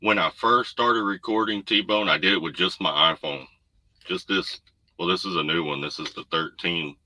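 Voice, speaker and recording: Deep-voiced laid-back trucker man. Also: moderate pace, sharp tone, no room sound, poor audio. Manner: laid-back, rambling, reads viewer comments. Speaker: trucker livestream host. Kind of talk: casual livestream chat. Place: home room. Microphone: desk USB condenser mic. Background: noise.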